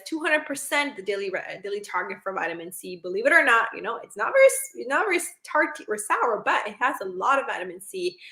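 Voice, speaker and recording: A woman from Sri Lanka talks through healthy foods in a casual, chatty way, leaning on fillers and repeating words as she goes. Her voice sits a little high and sounds very bright and forceful. The room behind her is echoey. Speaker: doctor giving health talk. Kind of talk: casual informative talk.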